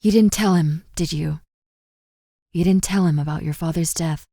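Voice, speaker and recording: A woman playing a stern, worried wife and mother in an anime audio drama, sharp, dry and exasperated with her husband. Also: fairly low pitch, normal speed, dry recording. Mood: concerned. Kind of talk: anime audio roleplay acting.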